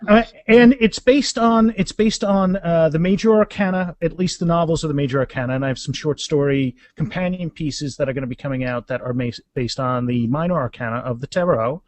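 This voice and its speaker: Higher-voiced man around fifty, close and dry. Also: brisk, hardly any pauses, darkish tone, dry recording. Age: about 50. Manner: enthusiastic, rambling. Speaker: guest author. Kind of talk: casual podcast interview chat.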